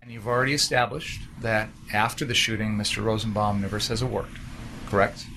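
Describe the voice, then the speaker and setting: A man's voice: fairly low pitch, steady tone, a little fast, creaky. Insistent male prosecutor in a noisy courtroom clip. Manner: pointed, insistent questioning. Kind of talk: courtroom cross-examination.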